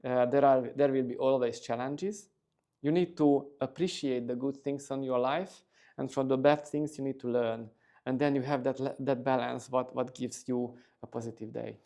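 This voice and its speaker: A man's voice: medium pitch, fairly even intonation, moderate pace, warm tone. A middle-aged man, a company executive giving a casual interview, talking steadily with many fillers and restarts.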